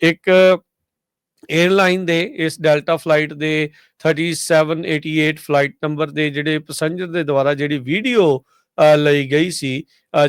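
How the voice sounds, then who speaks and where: A man with a Punjabi accent presenting a radio news report in a conversational, halting way with frequent hesitations. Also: on the high side, smooth, no room sound, studio quality.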